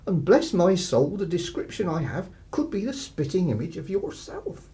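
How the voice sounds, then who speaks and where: A man's voice: sits high, big pitch swings, normal speed, warm tone. An actor playing a plodding, deferential but sly English village policeman in a radio play, hesitant and roundabout. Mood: quietly knowing. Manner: hesitant, apologetic, polite, roundabout.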